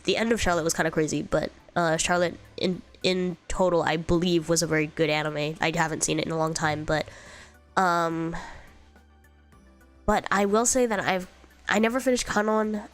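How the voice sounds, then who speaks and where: A young woman streamer with a congested, slightly sick voice, chatting casually and rambling through comments with lots of fillers and giggles. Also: on the low side. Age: young adult. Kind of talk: casual chat reading viewer comments.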